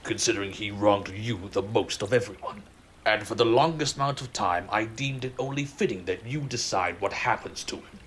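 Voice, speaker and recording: An actor playing a benevolent, commanding king in a romantic audio drama, speaking in a formal, measured, courtly way. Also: on the low side, fairly bright tone, slight background noise, close to an everyday voice, low-quality recording. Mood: playful, deliberate.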